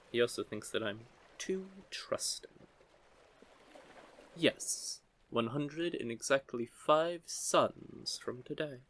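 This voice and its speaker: A man voicing a curious, teasing young merman in an intimate audio roleplay, with a gently formal, measured way of talking. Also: medium pitch. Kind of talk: scripted audio roleplay, intimate one-sided conversation.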